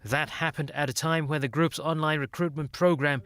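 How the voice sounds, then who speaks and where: A man's voice: a little high, steady tone, normal speed, fairly bright tone, smooth, dry recording. A man reading scripted news reports as an anchor.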